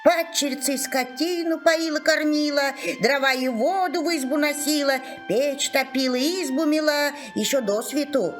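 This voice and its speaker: A woman storyteller giving an expressive scripted fairy-tale narration. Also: sits high, moderate pace, hardly any pauses. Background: music.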